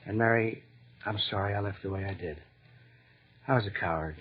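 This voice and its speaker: Muffled old-radio dad played by an actor. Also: male voice, fairly low pitch, a little fast, lightly acted. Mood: contrite, subdued. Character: remorseful, affectionate father dressed as Santa Claus. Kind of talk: 1940s radio drama acting. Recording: muffled 1940s radio recording, faint noise.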